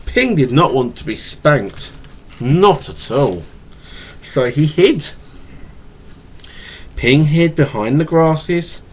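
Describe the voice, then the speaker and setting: Bloke reading a kids story to his little one, highish voice goes up and down loads, bit of a stutter, slightly noisy webcam. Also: takes it slowly, pauses often. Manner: animated, playful, addressing a small child. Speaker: father reading a picture book to his young daughter. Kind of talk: storybook reading with casual asides to camera. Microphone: webcam mic. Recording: noisy home webcam recording.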